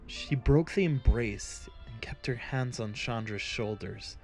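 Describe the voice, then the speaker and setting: Male fantasy narrator: very slow, solemn, measured, music underneath. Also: mid-range voice. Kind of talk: scripted dramatic fantasy narration.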